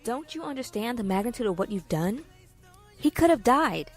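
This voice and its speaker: A woman's voice: fairly high pitch, big pitch swings, moderate pace, soft background music. An actress playing an indignant young woman, somebody's sister, in audio drama dialogue. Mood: appalled, accusing.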